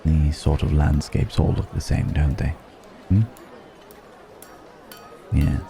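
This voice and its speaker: Casting: male actor for ancient dragon in disguise, ASMR drama. Extremely low, dark velvety voice, wry, curious, archaic turns of phrase, music and crowd behind. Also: fairly even intonation, creaky, heavily acted. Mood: musing, curious. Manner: musing, archaic formal phrasing, unhurried hums. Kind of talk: ASMR roleplay audio drama.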